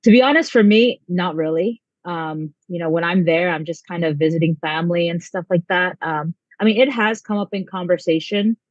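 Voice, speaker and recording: A young woman from the States answers interview questions in an easygoing, rambling way, filling pauses with "um" and "like". Her voice comes through a dull, lo-fi channel. The sound is dry, with no room around it. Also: fairly low pitch, dry recording. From United States. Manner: chatty, lots of um and like. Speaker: volleyball head coach, guest.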